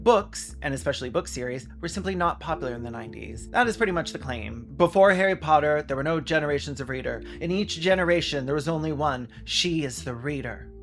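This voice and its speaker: A man with a slightly high voice presents a video essay over light music. His delivery is sardonic and conversational, tipping into mock drama as he comments. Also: expressive, fairly quick, vocal fry, hardly any pauses, a bit bright.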